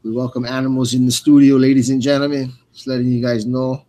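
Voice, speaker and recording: A man's voice: mid-range voice, level tone, fast, mellow. Casual male radio fight host. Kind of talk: loose, casual live fight commentary.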